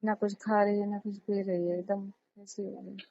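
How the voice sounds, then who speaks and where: A woman's voice: mid-range voice, flat delivery, normal speed, vocal fry, warm tone, dry recording. A young woman chatting casually on a recorded phone call, insistent and teasing in manner. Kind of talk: casual phone chat with brother.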